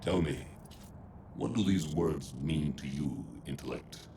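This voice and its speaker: A philosophical machine overlord in a video game. The voice is deep and slow, with cryptic, solemn weight. Noisy ambience lies behind it. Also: male voice, vocal fry, exaggerated voice. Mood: solemn, probing. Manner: slow, portentous. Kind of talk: video game cutscene acting.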